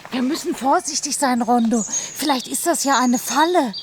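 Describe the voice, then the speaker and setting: A woman's voice: sits high, moderate pace, noisy background, lightly acted. A woman playing a cautious, inventive young girl adventurer in a Swiss German radio play. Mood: wary.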